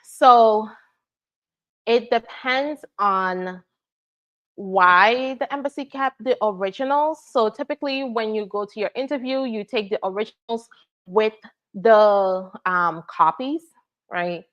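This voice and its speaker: A woman from Nigeria, working as an immigration attorney, answers viewer questions in a relaxed live Q&A and keeps checking in with "right?" and "all right?". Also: a little high, takes it slowly, muffled recording. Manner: explanatory, frequent "right?" and "all right?" check-ins.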